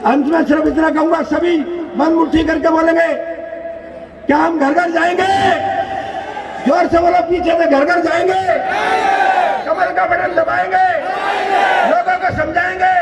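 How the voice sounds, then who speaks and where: A man giving a loud, declamatory campaign speech as a politician, using repeated phrases and rhetorical questions. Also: extremely high pitch, level tone, measured pace, gravelly, pauses often, over a noisy crowd, very noisy. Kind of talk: political rally oratory. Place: large public political gathering. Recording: echoey PA at crowded rally, distant mic.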